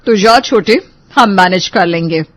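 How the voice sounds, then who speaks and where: A woman's voice: mid-range voice, measured pace, natural voice. A woman playing a tough, protective lawyer elder sister in an audio story, assertive yet reassuring. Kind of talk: Hindi audio story acting.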